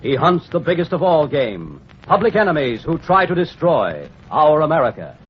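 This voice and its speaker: A man's voice: fairly high pitch, sing-song, moderate pace. A man playing a grand, declamatory patriotic announcer in a 1940s radio serial. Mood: stirring, ominous. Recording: muffled, faintly noisy old radio recording.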